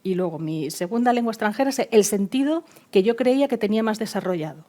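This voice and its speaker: A woman speaking on an expert panel, with a soft and mellow tone. Her speech is halting and searching, full of fillers and restarted phrases. She sounds like she is working out her points as she talks. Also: medium pitch, lively intonation. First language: not Spanish. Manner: hesitant, many fillers and restarts. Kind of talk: panel discussion.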